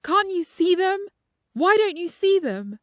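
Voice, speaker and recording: A frantic, paranoid man played by a woman reading a short story pleads and shouts in terror. The voice is pushed high and loud, repeating itself with desperate urgency. The sound is close and dry. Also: very animated, a little slow, dry recording, exaggerated voice. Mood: panicked, pleading. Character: terrified, paranoid young man screaming warnings of demons.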